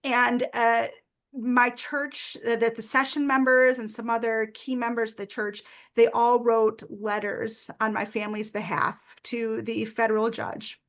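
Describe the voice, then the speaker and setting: Earnest woman presenter, fairly high voice. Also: level tone, a little slow. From United States. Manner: earnest, warm storytelling with frequent "uh" fillers. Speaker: workshop presenter. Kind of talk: presentation with personal story.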